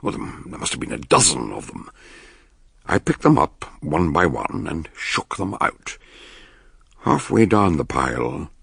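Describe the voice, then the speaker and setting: A man playing an eccentric, shrewd detective doctor in a radio drama, deliberate and musing as he questions and narrates. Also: a bass voice, very animated, gravelly, a bit bright, husky, heavily acted. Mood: grave narration. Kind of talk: radio drama acting and first-person narration.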